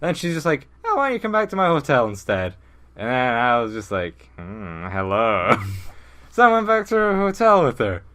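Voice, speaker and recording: Young man, lively varied pitch, casual podcast chat, halting giggly storyteller. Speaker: podcast co-host.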